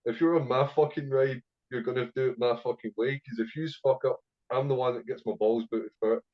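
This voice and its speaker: A man's voice: mid-range voice, steady tone, a little fast, highs cut off. A man with a Scottish accent telling stories as a podcast guest, swearing freely and rambling with plenty of fillers. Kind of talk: casual storytelling interview.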